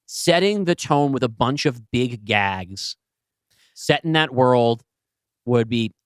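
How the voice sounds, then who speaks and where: A man's voice: medium pitch, lively intonation, slow pace, smooth, dry recording, studio quality. A man co-hosting a podcast, discussing things casually in an analytical, encouraging way. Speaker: podcast co-host.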